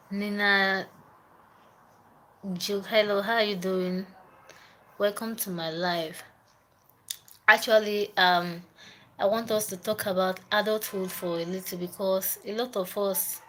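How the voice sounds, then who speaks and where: Young woman, Nigerian accent, casual live-stream chat, mid-pitched voice, faint hiss behind. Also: fairly bright tone, low-quality recording. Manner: chatty, repeats herself, greets viewers by name. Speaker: livestreamer.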